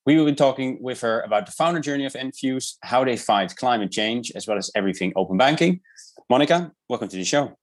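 A man's voice: medium pitch, expressive, quick pace. A man hosting an interview show, reading a formal prepared introduction before asking questions. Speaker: podcast host.

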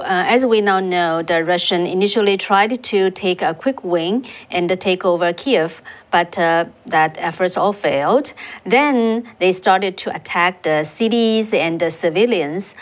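A woman co-hosting a news show, introducing an interview she did, with an unhurried manner and many fillers. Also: on the low side. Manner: unhurried, with fillers and restarts on hard names. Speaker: reporter and co-host.

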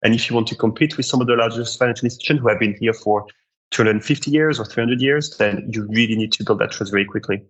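A man's voice: medium pitch, fast, dull audio. A man with a French accent, a podcast guest giving earnest answers and often repeating words as he thinks. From France. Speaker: guest, marketing director.

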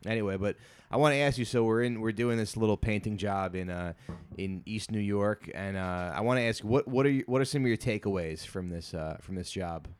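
A man with a fairly low voice hosts a casual podcast chat. He riffs and jokes freely and often laughs at his own lines. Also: a little fast, clear, resonant tone. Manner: joking, breaks into laughter. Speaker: podcast host.

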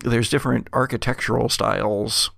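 A man running a tabletop game as game master, improvising descriptive narration in a halting, deliberate way. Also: mid-range voice, fairly even intonation, vocal fry, fairly bright tone, dry recording, studio quality.

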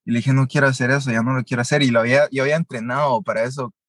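A man's voice: medium pitch, fairly even intonation, racing, a bit bright, no echo, clean studio sound. A young man from Guatemala, telling his story as an interview guest and mixing with English. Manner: switches between Spanish and English mid-sentence, many fillers.

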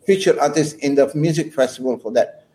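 Man, mellow, warm voice; relaxed, rambling anecdotal guest. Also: fairly high pitch, brisk. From Malaysia. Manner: rambling, anecdotal storyteller with chuckles. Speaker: guest musician. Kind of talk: casual interview chat.

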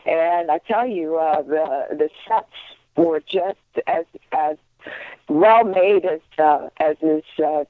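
A man from Sweden, speaking haltingly with many fillers. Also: fairly high pitch, no room sound. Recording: dry, phone-like sound.

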